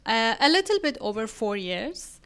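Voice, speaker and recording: A woman's voice: fairly high pitch, big pitch swings, normal speed, a bit bright, clear, resonant tone. A woman from Iran with an Iranian accent, speaking conversationally as an interview guest about her work. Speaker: podcast guest, real estate agent.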